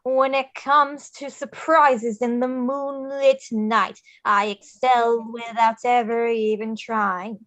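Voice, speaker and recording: A woman reading theatrical parts in a table read of an animated film script, with a slow, wistful delivery. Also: on the high side, dry recording, highs cut off. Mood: boastful. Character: wistful stitched-together rag doll young woman and weary skeleton king.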